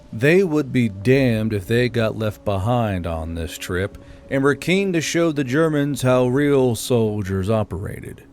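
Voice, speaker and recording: A man's voice: medium pitch, a little mellow. A man reading scripted military fiction as an audiobook narrator.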